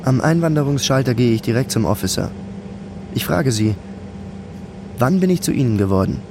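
A man's voice: mid-range voice, lively intonation, moderate pace, gravelly, noisy background. An actor playing an anxious male traveler who narrates his own journey in a radio play, introspective and insistent. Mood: brooding.

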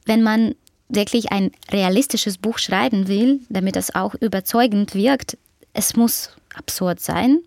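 A woman's voice: medium pitch, darkish tone, dry recording. Ukrainian-accented woman, dry close sound. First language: Russian. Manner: thoughtful, hesitant, with frequent fillers. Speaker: author. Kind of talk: interview conversation.

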